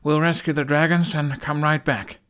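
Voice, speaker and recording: A man voicing an anxious, commanding young dragon rider in an audiobook, with short, sharp delivery. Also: fairly high pitch, little pitch movement, normal speed, clean tone, pitched above the performer's own voice, natural voice. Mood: firm. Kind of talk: audiobook character acting.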